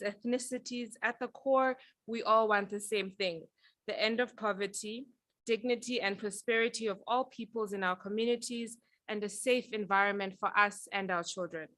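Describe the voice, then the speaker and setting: A young woman from Zambia talks through her career path at a panel event in an earnest, reflective way. Her voice is bright and forward, recorded close and dry with almost no room sound. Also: mid-range voice, no echo. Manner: earnest, reflective, with some filler ums. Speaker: panel speaker. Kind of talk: prepared personal talk at a panel.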